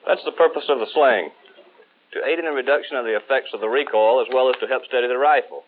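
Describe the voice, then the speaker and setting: A man's voice: medium pitch, quick pace, lightly acted. An American man playing a firm, methodical Marine rifle instructor, lecturing with emphatic authority in a 1960s training film. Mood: firm, instructive. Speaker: military marksmanship instructor. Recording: tinny old 1960s film soundtrack.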